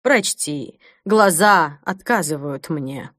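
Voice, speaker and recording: A woman playing a wise, grave old foster mother in an audiobook, speaking in a measured, solemn way. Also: sits low, expressive, a bit bright, no echo, studio quality, full character voice. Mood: grave, consoling. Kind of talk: audiobook character acting.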